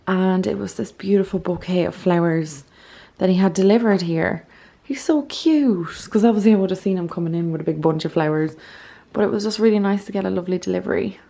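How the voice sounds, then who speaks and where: A woman's voice: mid-range voice, a little fast, gravelly, dark tone. A young Irish woman vlogging at home, chatting casually to her camera, a bit congested and nasal, with lots of "like"s. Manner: chatty, peppered with "like". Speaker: beauty and lifestyle vlogger. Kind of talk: casual vlog chat to camera. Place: at home, indoors.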